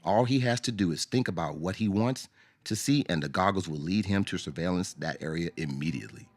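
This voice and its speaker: A man narrating a scripted radio drama as a storyteller, in a measured, dramatic way. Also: sits low, dry recording.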